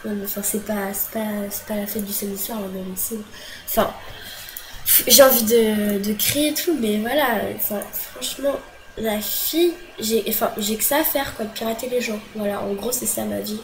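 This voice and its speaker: A woman's voice: mid-range voice, fairly even intonation, moderate pace, a little hiss or hum, rough recording. A young woman venting to camera about an online dispute, agitated and full of fillers and restarts, with a cold. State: has a cold. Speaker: vlogger.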